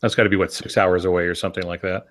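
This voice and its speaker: Low-pitched man explaining with wry humor. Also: level tone, very fast, fairly bright tone. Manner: wry, joking. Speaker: podcast co-host and author. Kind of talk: casual explanatory podcast talk.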